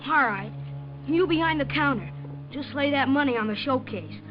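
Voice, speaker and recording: A man's voice: extremely high pitch, lively intonation, normal speed. A man playing a nervous skinny teenage robber in a 1950s radio drama, curtly barking orders. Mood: nervous, threatening. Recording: dull old radio recording, music behind.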